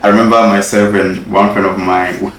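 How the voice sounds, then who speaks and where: A man's voice: deep voice, level tone, normal speed, a bit bright, heavy reverb, recorded from a distance, slight background noise. A man from Ghana, a guest in a casual interview, talking earnestly and repeating words for emphasis. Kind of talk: casual conversation.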